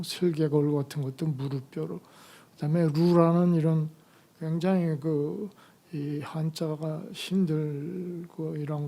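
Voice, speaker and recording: An older man lecturing at an academic conference, his voice hoarse from a cold. The tone is mellow and dark. He moves haltingly, pausing on fillers as he gathers each thought. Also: a little high. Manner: halting, slow delivery with many fillers. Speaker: retired medical professor giving a conference lecture.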